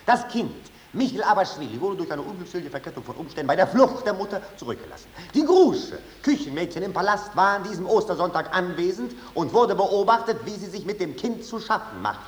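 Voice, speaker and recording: Pompous lawyer speech, swoopy n unctuous, old german radio drama, bit of hiss. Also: male voice, high voice, very animated, brisk, few pauses. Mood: indignant. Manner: oratorical, unctuous. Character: pompous, indignant, theatrical male lawyer. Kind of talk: 1950s German stage-style radio drama acting. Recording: hissy 1950s radio recording.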